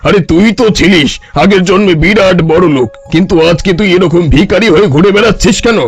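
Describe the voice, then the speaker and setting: Cartoon holy man, played by a man: gravelly, slightly high, bossy and scolding, grand prophecies, music underneath. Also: fast talker. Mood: scolding, prophetic. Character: bossy, boastful wandering holy man demanding food and shelter. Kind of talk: Bengali cartoon story voice acting.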